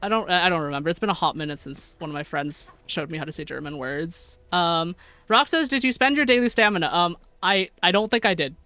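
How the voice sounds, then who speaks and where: A woman's voice: lowish voice, a little fast, pushed and bright, no room sound. A young American woman chatting casually while streaming a game, reading chat aloud, giggling often and rambling through her sentences. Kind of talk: casual gameplay livestream chat.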